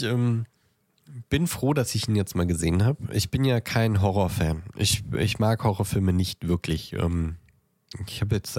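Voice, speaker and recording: A low male voice in a dry, close recording. He talks casually as a podcast co-host, feeling his way through sentences with halting repetitions. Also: a little mellow, dry recording. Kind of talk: casual chat about films.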